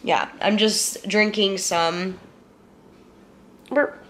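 A woman's voice: mid-range voice, fairly even intonation, extremely slow, fairly bright tone. A young woman with a head cold talks straight into a handheld camera held close to her face, her voice stuffy and nasal from congestion. She rambles in an easygoing, chatty way and swears casually, settled on a couch in her living room. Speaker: vlogger.

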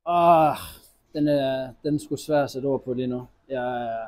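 A young man, a hockey player, answering post-match interview questions in a halting, disappointed way with many fillers and restarts, recorded indoors at an arena press wall. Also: medium pitch, a little mellow. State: disappointed after a loss.

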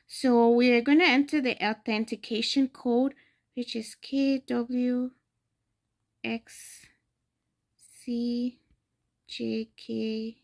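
A young adult woman from Ghana, hosting a screen-recorded phone tutorial and explaining each step patiently. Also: fairly high pitch. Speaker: tutorial host.

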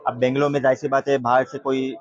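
A man's voice: medium pitch, little pitch movement, fast, muffled recording. A man with a Karachi Urdu accent, a shopkeeper patiently explaining phones to a customer inside an electronics shop. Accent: Pakistani Urdu, Karachi. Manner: patient, reassuring, checks with "right?". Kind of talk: casual sales explanation.

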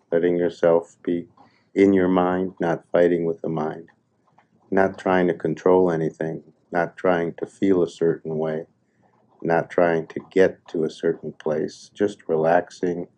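A man leading a guided meditation in a soothing manner, speaking in short unhurried phrases with pauses. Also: low voice, mellow.

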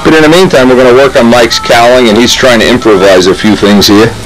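A man's voice: mid-range voice, steady tone, fast talker, poor audio. Older male hobbyist, practical how-to tone, outdoor camcorder sound with music under it. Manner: practical, step-by-step explaining. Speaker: model airplane builder. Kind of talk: casual how-to demonstration. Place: snowy backyard outdoors.

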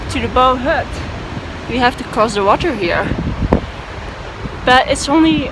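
A woman's voice: high-pitched, expressive, measured pace, noisy background. Cheerful young woman vlogging on a trail. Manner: chatty, cheerful, laughs between remarks. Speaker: hiking vlogger. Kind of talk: casual vlog narration while hiking. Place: outdoors on a mountain trail. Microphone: handheld camera at arm's length.

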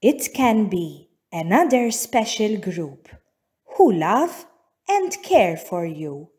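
A woman reading a children's picture book aloud as narrator, in deliberate, measured phrases with frequent pauses. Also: medium pitch, very animated, a little mellow, slightly rough. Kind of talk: scripted storybook narration for young children.

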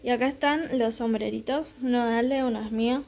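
A young woman rambles casually through a home vlog. Her voice sits a touch high, and she wanders between thoughts with plenty of fillers. It sounds like a handheld phone in a small room at home. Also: fairly even intonation, brisk, a little mellow. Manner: chatty, meandering, many fillers. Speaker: vlogger. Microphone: handheld phone camera.

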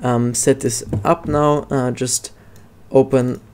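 A man narrating a casual software tutorial in a hesitant, halting way. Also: medium pitch, level tone, a little hiss or hum. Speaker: tutorial host.

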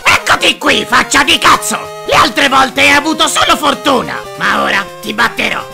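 A cranky cartoon rival acted out by a performer with a creaky, gravelly voice. The delivery is exaggerated and blustering, over clear background music. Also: high voice, animated, moderate pace, heavily acted. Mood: angry. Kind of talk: comic cartoon dub.

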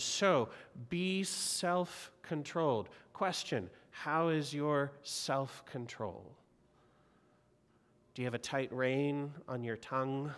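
Middle-aged male pastor, earnest exhorting sermon, slightly high voice, echoing church hall. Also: a bit bright. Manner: earnest, exhorting, uses repeated rhetorical questions. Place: large church sanctuary. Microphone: pulpit mic. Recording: long reverb of a church hall.

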